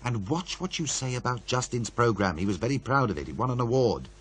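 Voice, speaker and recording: A man's voice: mid-range voice, big pitch swings, a little fast, fairly bright tone, a little hiss or hum. An actor playing a wary, world-weary male producer in a radio play, blunt, sardonic and conversational. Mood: warning. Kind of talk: naturalistic radio play dialogue.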